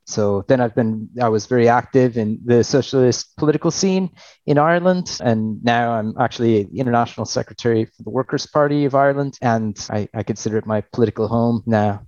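A man's voice: medium pitch, a little fast, darkish tone, no room sound. Man on a dull, muffled remote line. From Alaska, United States. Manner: thoughtful, with restarts and wry laughs. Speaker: podcast guest, party official. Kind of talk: conversational interview answers.

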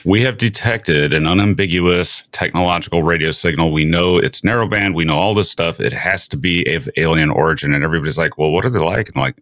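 A man hosting a conversational science discussion, talking in a measured way with short emphatic phrases. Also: low-pitched, fairly bright tone, dry recording. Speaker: show host, interviewer.

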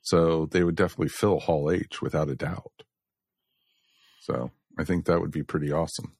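A man's voice: a bass voice, moderate pace, creaky, darkish tone, slightly rough, dry recording, studio quality. An American man from the Southeast co-hosting a podcast, chatting casually and addressing listeners in a folksy way. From southeastern United States. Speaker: podcast co-host. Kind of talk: casual chat.

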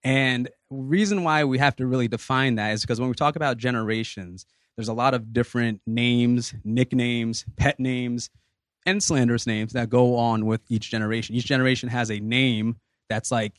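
A man in his thirties hosting a podcast, leading a casual group discussion in a relaxed, rambling way with many fillers. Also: medium pitch, normal speed, no room sound, clean studio sound. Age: 30s. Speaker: podcast host.

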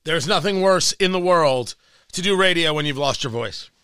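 A man's voice: a little high, lively intonation, normal speed, sharp tone, smooth, no room sound. A male radio talk show host whose voice is slightly strained, talking in a rambling, emphatic monologue with frequent restarts from his home studio. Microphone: studio mic, working from home.

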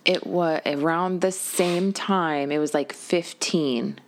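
A woman's voice: low voice, slow pace, slight background noise. A woman co-hosting a podcast in casual banter, blunt and teasing with her partner. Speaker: podcast co-host.

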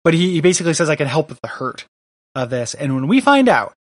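A man co-hosts a podcast in a loose, rambling way, swearing easily as he riffs. His voice sits a bit high for a man. It sounds dry and close to the mic. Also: big pitch swings, fast, dry recording. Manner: chatty, riffing, swears casually. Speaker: podcast co-host. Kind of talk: casual chat.

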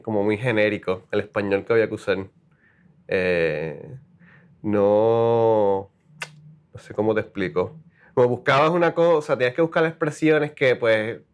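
Halting Puerto Rican man. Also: medium pitch, smooth. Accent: Puerto Rican Spanish. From Puerto Rico. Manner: halting, full of restarts and English words. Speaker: copywriter guest. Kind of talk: casual interview.

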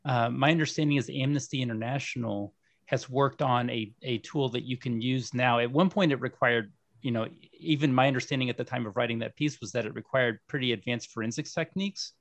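Male guest journalist, measured explainer, mid pitch, dry recording. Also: no room sound. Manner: measured, informative, some fillers. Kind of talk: explanatory podcast talk.

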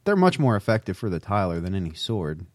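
Low-voiced man, dry close recording. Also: big pitch swings, a little fast, a little mellow, dry recording. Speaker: podcast co-host. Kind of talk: casual banter and discussion.

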